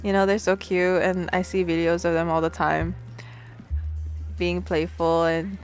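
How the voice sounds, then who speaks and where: A woman's voice: fairly low pitch, flat delivery, unhurried. A woman playing a playful, awkward speed dater in an ASMR roleplay, chatting in a natural, rambling, giggly way with music behind her. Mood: playful, chatty. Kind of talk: ASMR roleplay, natural conversational acting to the listener.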